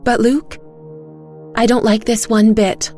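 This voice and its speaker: A woman voicing a fiery, demanding middle-aged woman who snaps in shrill outbursts. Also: little pitch movement, takes it slowly, darkish tone, faint music behind. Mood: tense.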